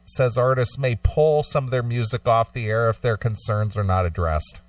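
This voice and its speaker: Male talk radio host, blunt and conversational, mid-pitched, dry sound. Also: normal speed, dry recording. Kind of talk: casual radio talk, reading an article aloud. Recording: slightly distant microphone, dry sound.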